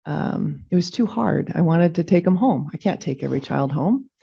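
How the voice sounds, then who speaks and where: A middle-aged woman, a Danish native speaker, giving a reflective, halting farewell speech as chair of a public meeting. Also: low-pitched, expressive, gravelly, husky. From California. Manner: reflective, halting, with frequent ums. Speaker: commission chair. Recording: dull lo-fi meeting audio.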